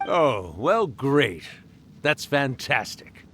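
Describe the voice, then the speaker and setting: A man's voice: mid-range voice, big pitch swings, very slow, fairly bright tone, full character voice. An actor playing a genial, centuries-old male spirit in a video game, wry, affectionate and theatrical like an old uncle. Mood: tender. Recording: clearly audible background noise.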